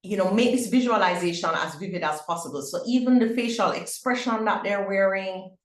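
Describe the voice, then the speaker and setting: Upbeat middle-aged Jamaican woman hosting a webinar, mid-pitched, echoey room. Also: a little fast. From Barbados. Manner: upbeat, with frequent fillers and restarts. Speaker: webinar host. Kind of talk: webinar info session.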